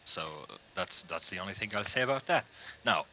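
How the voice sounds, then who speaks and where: A man with a Portuguese accent, a tabletop game player describing his character in a relaxed, rambling way. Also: sits low, moderate pace, a little hiss or hum, poor audio. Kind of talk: casual chat.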